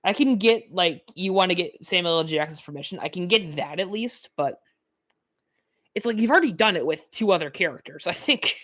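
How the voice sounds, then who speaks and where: A nineteen-year-old woman talks over her gameplay in a loose, rambling way, stacking fillers and breaking into little laughs. Her voice sits a bit low for a woman. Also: animated, fairly quick, a bit bright. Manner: chatty, filler-heavy, laughs often. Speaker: gaming YouTuber. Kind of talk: rambling let's-play commentary.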